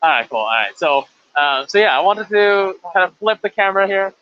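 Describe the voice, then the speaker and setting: A man's voice: sits high, animated, fairly quick. A young man hosting a charity livestream casually, rambling with many fillers and restarts. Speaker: livestream host. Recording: lo-fi livestream audio with faint noise.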